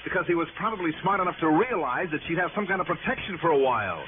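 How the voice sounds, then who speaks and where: An actor playing a sharp, insistent private investigator in a 1960s radio detective drama, clipped and probing. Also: male voice, fairly high pitch, animated, noisy background, natural voice. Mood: exasperated. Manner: clipped, probing questions, brisk and challenging. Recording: tinny old radio recording with music.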